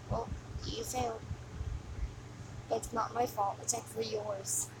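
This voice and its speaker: A woman's voice: fairly high pitch, flat delivery. Woman as a mocking game demon, noisy recording. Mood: bored, exasperated contempt. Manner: taunting, scornful, drawn-out sighing delivery. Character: mocking, sneering demon taunting the player after deaths. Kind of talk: amateur video game villain voice lines. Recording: noisy amateur home recording.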